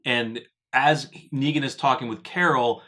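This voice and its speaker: Bright-voiced man chatting as a fan. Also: medium pitch, fairly even intonation, measured pace. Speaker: reaction show co-host. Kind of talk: casual fan reaction chat.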